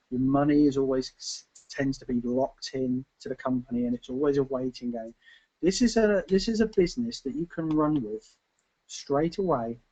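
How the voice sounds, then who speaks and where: A man co-presenting a webinar with an informal, testimonial sales pitch, emphatic and repetitive, in halting phrases. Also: dark tone. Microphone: online webinar call. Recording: dull lo-fi online call audio.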